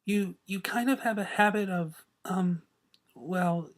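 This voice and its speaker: A man voicing a timid, nervous female pegasus pony in a story reading, quiet, hesitant and stammering. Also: high voice, slow, pitched above the performer's own voice. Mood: nervous, embarrassed.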